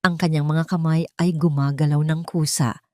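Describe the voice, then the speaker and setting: A woman narrates a scripted romance drama as a storyteller. Her voice is slightly low, and the recording is dry with very little room sound. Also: fairly even intonation, moderate pace, dark tone, dry recording.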